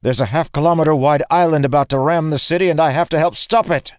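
A man's voice: fairly low pitch, lively intonation, normal speed, a little mellow, dry recording, clean studio sound, pitched above the performer's own voice, lightly acted. A man voicing an anguished, guilt-ridden warrior made young again, earnest and pleading, in a fantasy audiobook. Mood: desperate.

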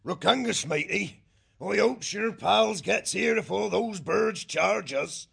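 A man's voice: high voice, slow pace, gravelly, a bit bright, slightly rough, pitched above the performer's own voice, heavily acted. A man voicing an anxious, polite male river otter with a fretful, apologetic manner. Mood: worried.

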